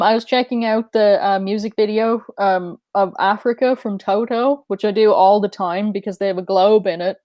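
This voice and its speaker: Female podcast co-host, mid pitch, thoughtful rambling, dry close-mic sound. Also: a little mellow, no room sound. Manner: musing, speculative, with fillers. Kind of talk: casual conspiracy podcast chat.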